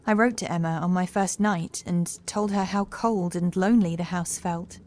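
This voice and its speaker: A woman playing a young housekeeper in a horror audiobook, calm and assured, with measured, formal speech and a touch of sorrow. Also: fairly low pitch, natural voice. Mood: confiding, wistful. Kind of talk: horror audiobook character acting.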